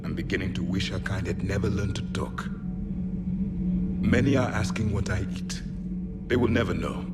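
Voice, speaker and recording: A stern alien warrior with a very low, gruff voice, played by a man, talking with deadpan gravity. Loud score plays behind him in this game recording. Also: normal speed, over loud music, natural voice. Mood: grim. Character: stern male alien warrior, dry and grim. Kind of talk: video game dialogue.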